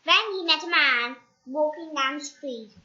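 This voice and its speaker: A child narrates a storybook in a high, bright voice. The delivery is slow, halting and dramatic, with repeated words. The recording is echoey and slightly dull. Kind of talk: children's storybook read-aloud.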